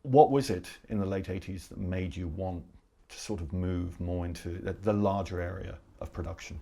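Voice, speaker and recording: Very deep-voiced male interviewer. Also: moderate pace, creaky, mellow. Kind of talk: interview questions.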